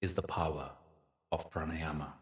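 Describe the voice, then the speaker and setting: A man leading a guided meditation as a spiritual teacher, speaking in a soothing, deliberate manner with pauses between phrases. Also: a bass voice, steady tone, recorded from a distance.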